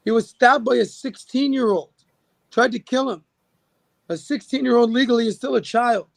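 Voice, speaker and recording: High-voiced gravelly man arguing with numbers. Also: normal speed, dry recording. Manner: opinionated, argues with numbers, many fillers. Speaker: podcast guest. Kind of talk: casual political chat.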